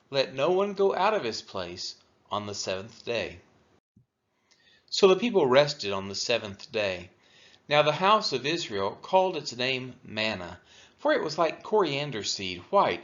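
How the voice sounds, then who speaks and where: Expressive man reading scripture, dull recording. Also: fairly high pitch. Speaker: scripture reader for a church. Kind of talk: expressive scripture read-aloud. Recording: dull, lo-fi sound.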